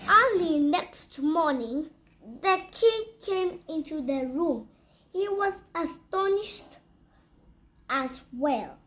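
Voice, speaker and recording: A reader telling a children's fairy tale aloud in a halting way, repeating and correcting words. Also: sits high, expressive, a bit bright. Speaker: storyteller reading aloud.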